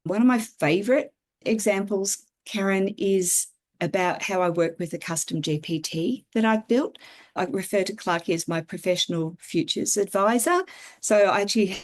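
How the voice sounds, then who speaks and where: A woman's voice: mid-range voice, moderate pace. A woman from Australia with an Australian accent, speaking as an interview guest in a thoughtful, earnest way, explaining ideas at length. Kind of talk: casual conversational interview.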